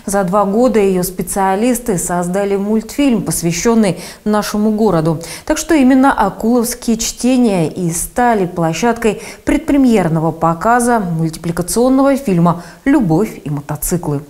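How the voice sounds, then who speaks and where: A woman's voice: mid-range voice, lively intonation, moderate pace, few pauses, darkish tone. A middle-aged woman presenting scripted news as a studio anchor. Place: television studio.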